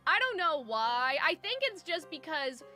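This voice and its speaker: High-pitched, bright woman livestreamer. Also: unhurried. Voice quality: high-pitched when excited. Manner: rambling, chatty, giggly, slangy. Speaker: live streamer, host. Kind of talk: casual livestream chat with viewers. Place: soundproofed room at home. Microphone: close microphone. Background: faint music.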